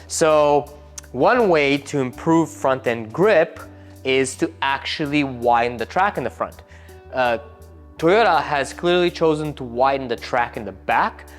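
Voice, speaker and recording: Man explaining car parts casually. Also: mid-range voice. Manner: explanatory, casual, with jokey asides. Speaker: car tuning shop host. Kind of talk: technical car build walkthrough.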